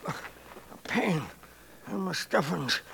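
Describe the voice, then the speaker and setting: An actor playing a stubborn, dying old American prospector in a radio western, halting and rustic with a wheezy, cracked voice. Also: male voice, sits high, animated, creaky, noisy background, full character voice. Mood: pained, weary. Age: older. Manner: halting, rustic, repeats words. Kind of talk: 1950s radio western acting.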